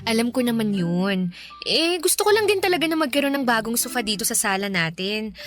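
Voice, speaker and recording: A woman's voice: fairly high pitch, sing-song, brisk, over music. An actress playing an affectionate, playfully teasing young live-in girlfriend in a Filipino radio drama, speaking colloquially. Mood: fond, chatty. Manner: teasing, laughs easily, colloquial.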